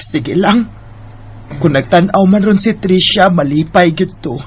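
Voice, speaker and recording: A slow, doting middle-aged mother. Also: on the low side, slight background noise. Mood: wistful. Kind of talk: radio drama acting.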